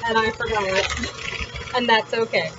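A woman's voice: on the high side, steady tone, slow. A woman hosting a home cooking vlog, talking informally and thinking aloud as she works in her kitchen. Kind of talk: casual chat while cooking. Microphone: camera on the counter, at a distance. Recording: distant, dull sound with loud background noise.

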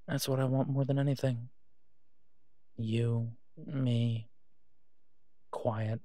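A tired, protective werewolf played by a man talks in an intimate audio drama. His voice sits at a middle pitch and comes through dry and close. He speaks haltingly and reassuringly, devoted but on edge. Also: steady tone, dark tone, no echo, natural voice. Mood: tender, wistful. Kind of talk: intimate roleplay audio drama, one side of a phone call.

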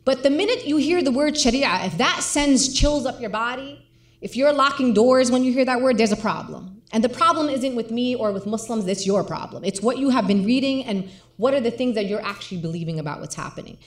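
A woman's voice: a little high, lively intonation, a little fast. A woman speaking on stage with a handheld mic in a lecture hall, impassioned and emphatic. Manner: impassioned, emphatic, gestures a lot. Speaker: guest speaker, organizer. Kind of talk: public conversation on stage. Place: large lecture hall with audience. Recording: roomy hall sound.

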